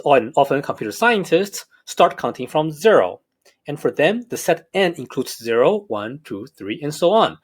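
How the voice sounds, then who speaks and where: A math professor with a slight Hong Kong accent talks through a recorded lecture. His voice sits a bit high and rises and falls a lot. He explains in a measured, careful way. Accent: Hong Kong English.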